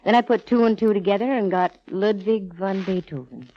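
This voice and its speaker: An actress playing a confident, wisecracking female private detective in a brisk, wry 1940s radio style. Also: medium pitch, close to an everyday voice. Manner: brisk, wry, self-assured. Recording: dull, hissy old radio recording.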